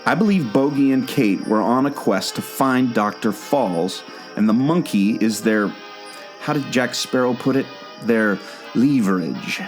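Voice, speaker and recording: A man's voice: mid-range voice, unhurried, soft background music, lightly acted. A man playing an eager, knowing explorer guide in a scripted audio drama, talking like an earnest storyteller. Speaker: co-host of a theme park podcast.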